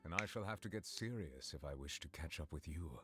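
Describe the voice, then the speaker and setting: An actor playing a reserved, dignified male chief justice in a fantasy game, speaking in a formal, measured, gentlemanly manner. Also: rumbling low, lively intonation, breathy edge, no room sound, faint music behind, exaggerated voice. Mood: composed, resolute. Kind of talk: scripted game character voice lines.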